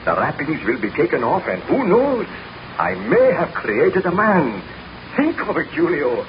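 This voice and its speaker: An actor playing an obsessed, exhausted baron scientist with grandiose, deliberate delivery in an old radio drama. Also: male voice, on the high side, wildly swooping pitch, full character voice. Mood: tense excitement. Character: obsessed, exhausted baron scientist recounting his dark story. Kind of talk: 1930s radio drama acting. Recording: noisy, muffled 1930s radio broadcast.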